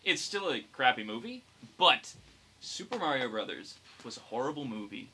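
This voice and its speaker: Animated, bright-voiced young man. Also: mid-range voice, moderate pace, rough recording. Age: young adult. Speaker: podcast co-host. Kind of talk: casual chat. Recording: clear background noise.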